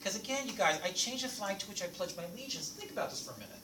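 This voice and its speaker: Man, French native speaker, playful math instructor, animated pitch, far from mic. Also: rapid-fire. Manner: lively, playful teacher asking the class questions. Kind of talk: classroom lecture. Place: classroom. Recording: echoey classroom recorded from afar, noisy.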